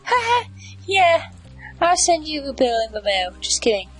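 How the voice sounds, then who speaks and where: High-pitched sassy sci-fi sidekick. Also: female voice, sing-song, normal speed, soft background music, low-quality recording. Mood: teasing. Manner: playful, sassy, teasing. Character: sassy, teasing woman in a sci-fi noir world. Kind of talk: scripted video game character dialogue.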